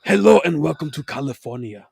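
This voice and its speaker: A man co-hosting a casual podcast, talking excitedly, laughing often and swearing freely. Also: a little high, fairly quick, gravelly, breathy edge, no room sound, studio quality. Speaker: podcast co-host. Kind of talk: casual chat.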